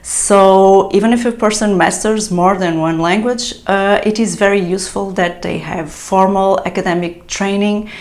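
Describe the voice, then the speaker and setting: A woman's voice: mid-range voice, steady tone, moderate pace, hardly any pauses, darkish tone. Woman translator vlogging advice. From Portugal. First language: European Portuguese. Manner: explanatory, with frequent fillers and restarts. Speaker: translator giving business advice. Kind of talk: solo talking-to-camera vlog.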